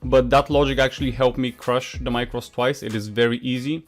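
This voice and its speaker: A man's voice: mid-range voice, steady tone, brisk, soft background music. A Bulgarian man, a poker coach, answering viewer questions in a frank, casual way with many filler sounds; his first language is Bulgarian. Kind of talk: casual Q&A monologue.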